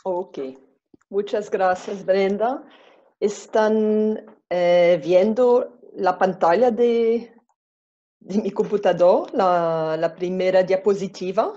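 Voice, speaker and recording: A woman with a Brazilian Portuguese accent gives an online presentation in a slow, careful way, pausing to find her words. Her voice is mellow and unhurried. The video-call sound is dull and lo-fi. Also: mid-range voice. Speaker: webinar presenter.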